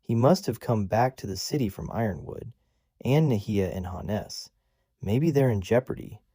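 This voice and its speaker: A man's voice: fairly low pitch, lively intonation, moderate pace, mellow, breathy edge, no echo, clean studio sound. A man doing an anxious, worried man. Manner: anxious, thoughtful.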